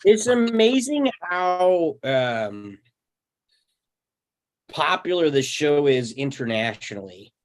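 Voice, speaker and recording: Halting, reflective man chatting casually. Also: fairly high pitch, sing-song. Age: 30s. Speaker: table read participant. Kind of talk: casual group discussion.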